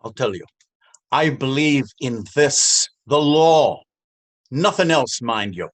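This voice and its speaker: A man's voice: medium pitch, animated, slow. An actor playing a bullying, sceptical Dublin policeman in a stage reading, mocking and officious. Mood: dismissive. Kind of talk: stage readers theater acting.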